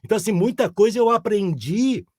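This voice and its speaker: A man's voice: sits high, animated, brisk, dark tone, no echo, studio quality. A man in his sixties from Rio Grande do Sul, a guest chatting in a rambling way and often checking in with the listener. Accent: Rio Grande do Sul Portuguese. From southern Brazil. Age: 60s. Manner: rambling, keeps asking "sabe?". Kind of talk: casual interview chat.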